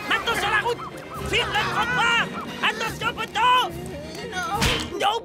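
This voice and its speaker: A woman voicing an excitable, bossy young microbe hero in a French children's cartoon dub, with emphatic, exclamatory delivery. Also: very high-pitched, measured pace, a loud crowd. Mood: alarmed. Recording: loud cartoon music behind the voice.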